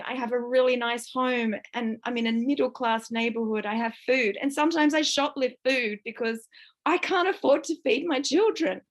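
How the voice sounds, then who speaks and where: Croaky woman, 50s, rather high voice, raw and distressed, vents with swearing. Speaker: book club member. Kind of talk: emotional personal sharing.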